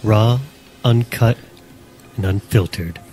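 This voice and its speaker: A man with a fairly low voice talks in a dry, matter-of-fact way, pausing often on fillers. He chats casually on a podcast, with faint music in the background. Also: warm tone. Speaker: podcast co-host.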